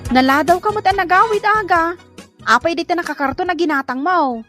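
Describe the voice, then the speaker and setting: A woman's voice: very high-pitched, a little fast, sharp tone, soft background music. A woman playing a questioning, chiding member of the household in an Ilocano comedy radio drama.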